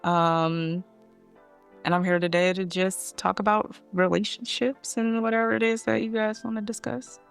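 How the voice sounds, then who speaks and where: A woman's voice: medium pitch, flat delivery, normal speed, creaky, clean tone, soft background music. A woman in her thirties from Philadelphia, chatting casually as a guest on a podcast panel. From Philadelphia, United States. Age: 30s.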